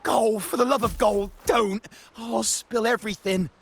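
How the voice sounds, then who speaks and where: An actor playing a boastful, cowardly, greedy pirate henchman in a video game, with a gravelly rasp and theatrical, exaggerated delivery. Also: high voice, fairly even intonation, a little slow, fairly bright tone, exaggerated voice. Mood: pleading, scheming. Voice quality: raspy, gravelly. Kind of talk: video game character acting.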